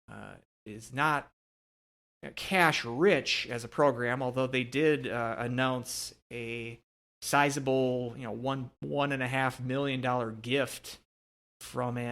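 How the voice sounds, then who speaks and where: A man's voice: medium pitch, vocal fry, fairly bright tone. A man co-hosting a sports podcast, talking casually about hockey in a hesitant, meandering way. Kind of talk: casual hockey commentary chat.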